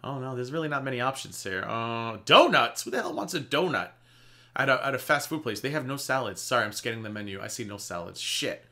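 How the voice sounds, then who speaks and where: A man's voice: medium pitch, lively intonation, fairly quick, pushed and bright. A man in his thirties hosting a reaction video, talking in a chatty, sarcastic way and swearing freely. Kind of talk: casual reaction commentary. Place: indoor room before a green screen.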